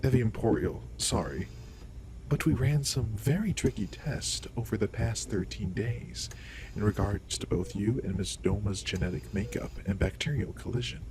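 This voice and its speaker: A man's voice: on the low side, moderate pace, background noise, close to an everyday voice. A man playing a courteous, authoritative alien doctor in an audio roleplay, speaking in a formal, explanatory way.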